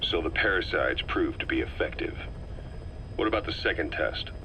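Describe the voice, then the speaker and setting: An actor playing a stern military intelligence officer in a video game, asking terse, matter-of-fact questions. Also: male voice, low voice, expressive, normal speed, pushed and bright, a little hiss or hum, poor audio. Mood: probing, terse. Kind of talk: dramatic game voice acting, interrogating dialogue.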